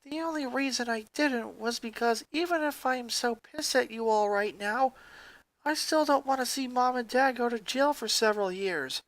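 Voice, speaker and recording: A man performs a young boy who is a resentful, wounded big brother in a fan story. He speaks coldly and accusingly, leaning hard on his words, with the pitch pushed up and a dry sound. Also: normal speed, fairly bright tone, dry recording, low-quality recording. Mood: bitter, firm. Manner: cold, accusing, emphatic.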